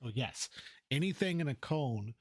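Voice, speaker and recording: A man's voice: mid-range voice, expressive, normal speed, slightly rough. A man chatting casually off script at his microphone, sounding exasperated and mildly scolding. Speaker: video creator, voice performer.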